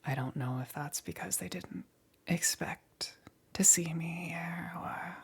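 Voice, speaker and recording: A woman playing a smug, teasing demon queen in an ASMR roleplay, with a breathy, whispery voice and a taunting, seductive menace. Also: on the low side, heavily acted. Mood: mocking. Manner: slow, taunting, punctuated by soft chuckles.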